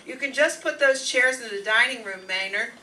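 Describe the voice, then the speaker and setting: A woman playing a brisk, managing housewife in an old-time radio sitcom, persuasive and take-charge. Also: fairly high pitch, pushed and bright, distant mic, slight background noise. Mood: brisk, friendly.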